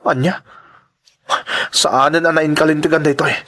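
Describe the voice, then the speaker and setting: A man's voice: a little high, fairly even intonation, moderate pace, bright, forceful tone. A man playing a young male worker who speaks out earnestly and indignantly against unfair treatment. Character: young male migrant worker standing up for coworkers. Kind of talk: audio drama acting.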